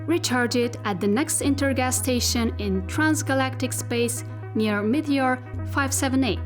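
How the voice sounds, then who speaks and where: A woman playing a patient, detached spacesuit AI in a scripted science fiction audio drama, speaking deliberately and instructively. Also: high-pitched. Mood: formal, detached. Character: female spacesuit AI machine, patient, detached, rambling.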